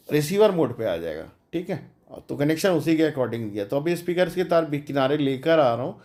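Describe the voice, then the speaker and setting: A man's voice: a little high, darkish tone. A man giving a casual, step-by-step hands-on tutorial, explaining informally and mixing in English technical words. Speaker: DIY electronics tutorial host.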